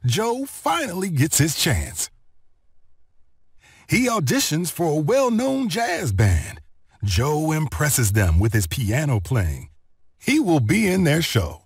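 A man narrating a children's read-along story in an expressive, deliberate storytelling manner. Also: fairly high pitch, no room sound, studio quality. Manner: expressive, deliberate storytelling delivery with clear phrasing.

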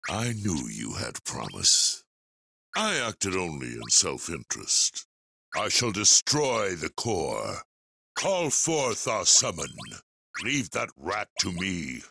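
An actor playing a solemn, honourable armoured dark sorcerer in a video game, speaking gravely and formally with theatrical weight and a gravelly voice. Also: male voice, low voice, heavily acted. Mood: commanding. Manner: formal, grave, archaic phrasing.

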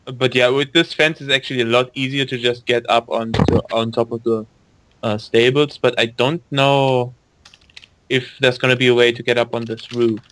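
A man's voice: medium pitch, fairly even intonation, normal speed, fairly bright tone. A young man chatting casually and wryly while playing an online game on a voice call. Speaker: gamer on a voice call. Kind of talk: casual chat while gaming.

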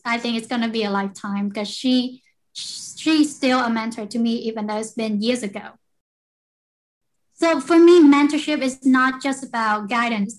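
A woman's voice: on the high side, low-quality recording. Earnest young woman from Vietnam. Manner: earnest, with small repeats. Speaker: guest, electrical engineer. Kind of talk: interview storytelling.

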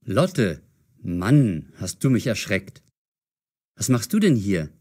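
An actor playing a cautious, curious young man in an audio drama for language learners, measured and clearly enunciated. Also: on the low side, big pitch swings, a little mellow, heavily acted. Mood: flustered.

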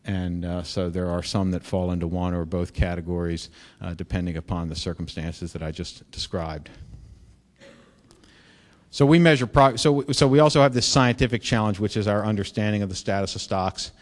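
Middle-aged man, keynote speaker, lowish voice, lots of ums and restarts, reverberant hall, podium mic. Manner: halting, many fillers and restarts; explanatory, rising in energy on key points. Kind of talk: conference keynote lecture. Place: lecture hall.

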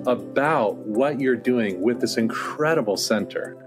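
A man speaks as a podcast host, in the manner of a prepared introduction or interview. His pitch is average for a man and his pace is slow. Music plays clearly behind him.

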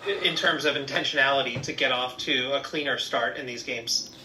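Bright-voiced man reporter, echoey. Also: medium pitch, fairly quick, slight background noise. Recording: echoey room.

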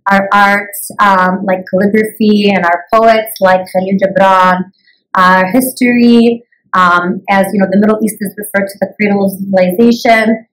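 Thoughtful woman teacher pausing with ums. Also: mid-range voice, steady tone, poor audio. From United States. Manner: thoughtful, pauses often with "um". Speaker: English as a new language teacher. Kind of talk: answering interview questions.

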